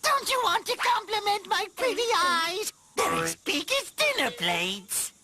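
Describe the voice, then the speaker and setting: Crazed, slow, swooping fake granny. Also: extremely high pitch, very animated, heavily acted. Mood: coaxing. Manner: drawn-out, overwrought. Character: crazed fake grandma with huge eyes and teeth. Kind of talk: cartoon voice acting. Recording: music under the voice.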